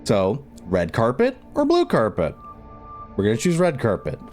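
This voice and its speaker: A man's voice: medium pitch, huge pitch swings, normal speed, darkish tone. Casual, rambling man over music. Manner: informal, rambling, repeats himself. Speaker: video tutorial host. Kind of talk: casual screen-recorded game modding tutorial.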